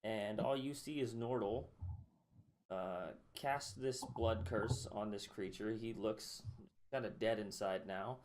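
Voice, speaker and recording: A man's voice: lowish voice, takes it slowly, darkish tone. A man playing a tabletop game, describing his turn in a hesitant way, thinking aloud. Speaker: player. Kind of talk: casual tabletop play.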